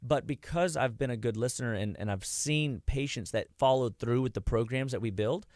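A man's voice: medium pitch, normal speed, darkish tone, no room sound, clean studio sound. A man, giving a rambling, folksy talk about health as a wellness clinic host. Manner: rambling, folksy, loses his train of thought.

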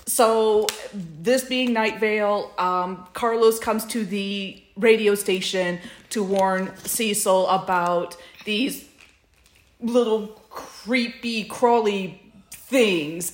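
A woman's voice: medium pitch, takes it slowly. Casual woman chatting as podcast co-host. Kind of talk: casual chat about fan fiction recordings.

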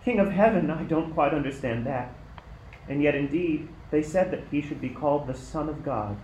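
A man playing a gentle, humble young mother in a radio play, speaking with earnest, measured reverence. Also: on the high side, lively intonation, highs cut off, close to an everyday voice. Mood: humble, wondering. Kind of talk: amateur radio play acting. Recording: echoey room, faint noise.

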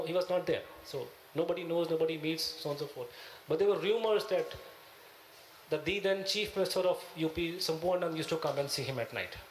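Punjabi accent guy telling a story in interview, lots of pauses, slightly high voice, roomy echo. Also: a little hiss or hum. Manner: halting, searching for words. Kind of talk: recounting, conversational.

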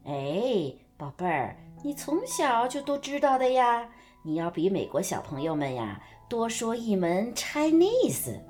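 A woman's voice: on the high side, very animated, unhurried, few pauses, a little mellow. A woman playing a fussing, coaxing middle-aged mother in a radio drama, with an exclamatory way of talking. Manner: nagging, exclamatory. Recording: music under the voice.

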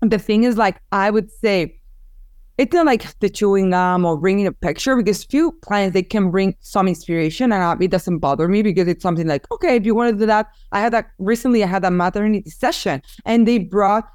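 A woman whose first language is Spanish chats in a relaxed interview at an everyday pitch, often breaking off and rephrasing as she searches for her words. The voice sounds close and dry, with no room around it. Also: a little fast, clean tone, dry recording. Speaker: business owner, photographer. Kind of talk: casual interview.

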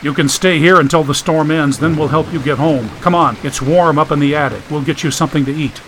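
A man's voice: on the high side, a little fast, fairly bright tone, slight background noise, low-quality recording. A man voicing a guarded, authoritative man in an audiobook, speaking tersely. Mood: guarded, practical. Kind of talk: audiobook character voice.

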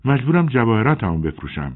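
A man's voice: medium pitch, big pitch swings, voice pushed up, close to an everyday voice. A man doing a nervous young woman, raised voice. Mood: anxious. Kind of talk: audiobook character voice. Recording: tinny phone-like audio.

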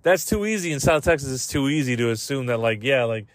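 A man's voice: mid-range voice, lively intonation, quick pace, no room sound. A man hosting a podcast, rambling casually and comedically in slangy, profane English as he retells everyday exchanges. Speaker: podcast host.

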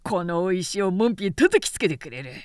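A woman's voice: on the low side, sing-song, moderate pace, no room sound, studio quality. Woman acting bellowing, hot-tempered male warrior. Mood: impatient, threatening. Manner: brusque, bellowing. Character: rough, impatient, hot-tempered male warrior demanding entry.

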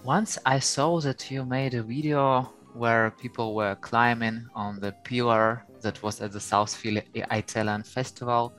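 A man's voice: mid-range voice, fairly even intonation, unhurried, hardly any pauses, a bit bright, faint music behind. A man hosting a podcast and asking short, plain interview questions. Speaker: podcast host.

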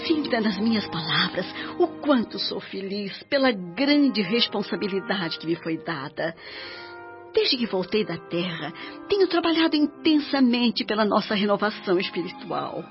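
A Brazilian woman acting a serene, devoted spirit mother in a radio drama, speaking in a solemn, measured way. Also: mid-range voice, lively intonation, natural voice. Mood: serene, earnest. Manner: solemn, measured, edifying delivery. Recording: dull lo-fi audio under music.

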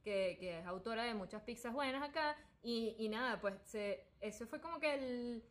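She is a young Venezuelan woman with a fairly high voice. She hosts in a loose, conversational way, spinning anecdotes with animated delivery. Her talk is full of slang and joking. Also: moderate pace. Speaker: podcast host. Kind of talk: casual humorous conversation, telling anecdotes.

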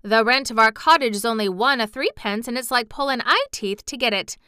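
A woman's voice: fairly high pitch, animated, normal speed, sharp tone, clear, resonant tone, dry recording, clean studio sound, voice pushed up. A woman voicing a cheerful, talkative young housemaid in a storybook reading. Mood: chatty. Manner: broad dialect, eager and chatty.

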